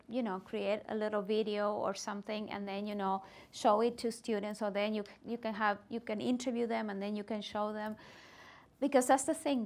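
A woman's voice: fairly high pitch, a little mellow. A middle-aged woman presenting at a teacher workshop, talking animatedly and with emphasis in a meeting room. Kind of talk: workshop discussion.

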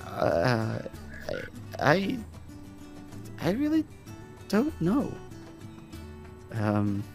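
A man's voice: medium pitch, very animated, fairly quick, a bit bright, faint music behind. A man playing an evasive, sarcastic supernatural deal-maker in an improvised game roleplay, often hesitant. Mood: uncertain.

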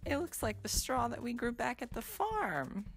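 Cartoon hairdresser played by a woman: folksy and fussing, gravelly, fairly high, drawling. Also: very animated, normal speed, soft background music. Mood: disapproving. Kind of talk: animated cartoon voice acting.

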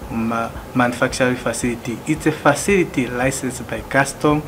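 A man in his thirties from Namibia, calmly going through customs warehouse rules one by one, with some restarts. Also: mid-range voice. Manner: plain, methodical, listing items with occasional restarts. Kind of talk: explanatory presentation. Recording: music playing behind.

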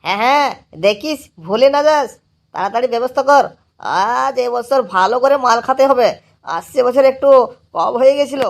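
A woman's voice: sits high, moderate pace, vocal fry. A woman voicing a pushy, nagging male drinking buddy in a comedy cartoon, insistent and a little stammering. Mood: agreeable, urging.

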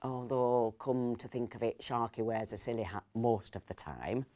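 A woman's voice: very deep voice, normal speed, warm tone. A middle-aged woman, playing a fussy, kindly, rambling Christmas dinner host in a storytelling monologue. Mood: chatty, fond, then concerned, subdued. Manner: hesitant, digressive, self-correcting. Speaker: actor reading a story. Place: indoors, seated in an armchair.

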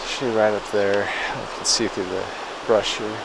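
A man quietly narrating to himself while fly fishing, pausing often as he makes his way along a river. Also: lowish voice, fairly even intonation, vocal fry. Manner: quiet, halting, talking to himself. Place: outdoors by a rushing river. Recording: outdoor camera audio with loud river noise. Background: loud rushing river water.

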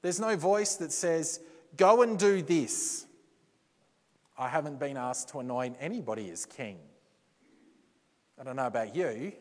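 A man preaching a sermon in a church, slow and deliberate, with dramatic pauses and quotes he acts out. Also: fairly high pitch, lively intonation. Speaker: pastor. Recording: reverberant church hall.

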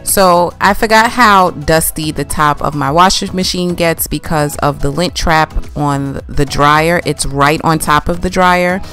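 A woman's voice: low-pitched, measured pace, few pauses, fairly bright tone, soft background music. A young woman casually narrating her home cleaning routine, chatty and explaining each step as she goes. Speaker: cleaning vlogger. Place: home laundry room.

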